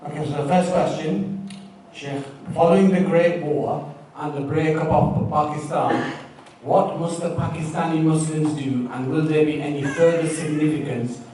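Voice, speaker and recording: A man's voice: a little high, fairly even intonation, moderate pace, dark tone, a little hiss or hum. A man hosting a question session, making polite announcements and reading questions to the audience. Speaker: event host reading questions. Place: large hall. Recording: far-off mic in an echoey hall.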